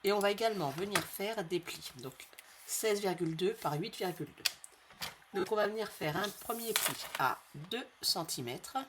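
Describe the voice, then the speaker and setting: A woman with a slight head cold hosting a craft tutorial in a friendly, chatty way, repeating each measurement slowly for her viewers. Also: mid-range voice, expressive, very noisy. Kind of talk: casual step-by-step craft tutorial.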